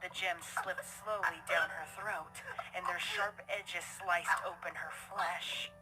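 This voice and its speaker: A woman's voice: on the low side, fairly even intonation, slow pace. Woman narrating a dramatic story over music.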